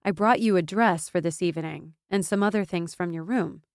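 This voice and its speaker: A woman's voice: on the low side, fairly quick, dry recording, clean studio sound, lightly acted. A woman voicing a loyal, stubborn young woman in a fantasy audiobook, insistent and impassioned. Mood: brisk, helpful. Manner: insistent, impassioned, gaining momentum. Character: fierce, stubborn, loyal young woman, pleading best friend.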